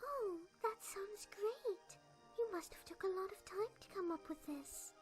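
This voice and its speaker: A woman playing a timid, gentle, polite outcast pony with a hesitant, apologetic manner in a fan-made cartoon. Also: very high-pitched, expressive, unhurried, faint music behind.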